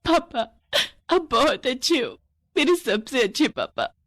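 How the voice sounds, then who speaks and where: A woman's voice: high voice, huge pitch swings, a little slow, fairly bright tone, no room sound, pitched above the performer's own voice, exaggerated voice. A woman voicing a sweet, mistreated little girl in a story, childlike and plaintive. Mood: loving.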